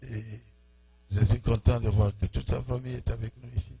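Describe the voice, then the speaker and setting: Pastor guy in church, dark mellow voice, slow bits of french, waits for translator. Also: mid-range voice, fairly even intonation, vocal fry, breathy edge. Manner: slow, pausing phrase by phrase for the interpreter, chuckling warmly. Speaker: preaching pastor. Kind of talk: sermon greetings in French with interpreter. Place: church hall.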